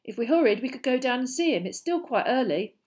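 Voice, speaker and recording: A woman's voice: high voice, expressive, fast talker, darkish tone, voice pushed up. Woman as direct, indignant schoolboy hero. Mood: urgent, pointed. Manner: direct, pointed. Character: loyal, plain-spoken, indignant schoolboy hero.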